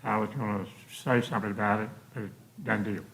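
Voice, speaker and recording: A man speaks as a commission member at a public meeting. He puts informal questions to a presenter. His voice is a little low. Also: steady tone, a little fast, gravelly, fairly bright tone, a little hiss or hum, poor audio.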